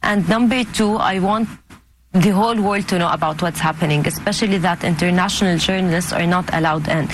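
A woman's voice: on the low side, noisy background. Earnest woman. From Gaza, Palestine. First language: Arabic. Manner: earnest, heartfelt, steady. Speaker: guest author and journalist. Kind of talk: interview answers and poetry reading.

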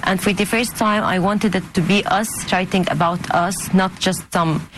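A woman's voice: on the low side, normal speed, slight background noise, low-quality recording. Earnest woman. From Gaza, Palestine. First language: Arabic. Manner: earnest, heartfelt, steady. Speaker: guest author and journalist. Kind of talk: interview answers and poetry reading.

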